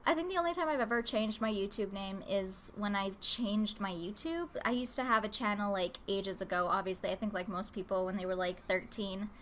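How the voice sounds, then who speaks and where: A woman's voice: medium pitch, a bit bright, slight background noise. A woman with a cold, sounding a little nasal, chatting casually and rambling with fillers as she hosts a live drawing stream from home. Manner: easygoing, rambling, lots of filler words. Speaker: live-stream artist host. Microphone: open desk microphone.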